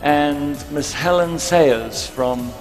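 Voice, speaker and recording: A man's voice: on the high side, drawn-out words, soft background music. Man hosting a live stage event. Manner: welcoming, invites guests on stage. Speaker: host and moderator. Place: large hall on stage. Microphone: handheld microphone.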